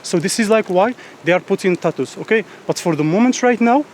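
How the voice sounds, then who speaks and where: A man's voice: high-pitched, animated, fairly quick, darkish tone, a little hiss or hum. A man from Morocco with an Amazigh accent, guiding a tour and explaining local customs, often checking in with "okay?". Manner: frequent "like", "exactly" and "okay?" checks. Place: outdoors in a village.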